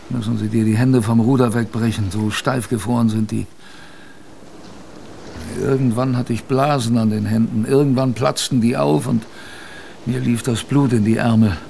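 Actor as creaky, weary sailor narrator. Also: male voice, medium pitch, dark tone, slight background noise, lightly acted. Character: weary polar expedition sailor recounting his ordeal. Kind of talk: first-person radio drama narration.

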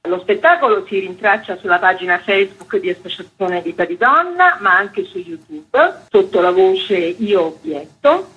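A woman gives a radio interview as an advocate. Her voice is very husky and rough, moving animatedly in pitch. The recording is dull and lo-fi. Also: fairly bright tone. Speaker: theatre author-performer and women's health activist.

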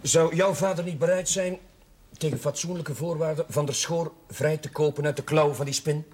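An actor playing an earnest, anxious young curate with a Dutch accent in a television drama, hesitant and stammering. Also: male voice, on the high side, fairly even intonation, normal speed, darkish tone, breathy edge. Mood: probing. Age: young adult. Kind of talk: naturalistic television drama acting. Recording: noisy old television soundtrack.

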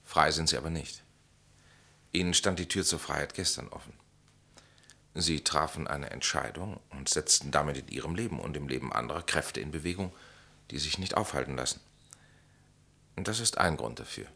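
An actor playing an enigmatic, knowing stranger in a German radio drama, speaking in grave, measured, philosophical pronouncements with authority. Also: male voice, a bass voice, creaky, pushed and bright, breathy edge, exaggerated voice. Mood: grave, instructive.

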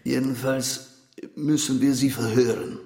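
An actor playing a cynical, suspicious border guard with a dry, deadpan menace in a radio drama. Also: male voice, mid-range voice, unhurried, echoey room, close to an everyday voice. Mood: bored, menacing.

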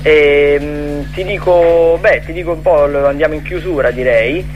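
A man's voice: on the high side, measured pace, highs cut off, soft background music. A man co-hosting a radio show, giving casual event listings in a rambling, hesitant way. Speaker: radio co-host.

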